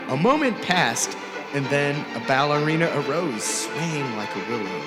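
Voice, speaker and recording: A man reading a short story aloud in a deliberate, theatrical style, drawing out some words. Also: mid-range voice, low-quality recording. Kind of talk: dramatic scripted story narration. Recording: music score under the voice. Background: music score.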